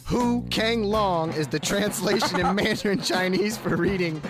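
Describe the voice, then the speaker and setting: High-voiced man cracking jokes over music. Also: a little slow. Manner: slangy, profane, says "dude" constantly. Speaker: comedy podcast host. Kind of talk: reading jokes in casual banter. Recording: voice over loud music bed.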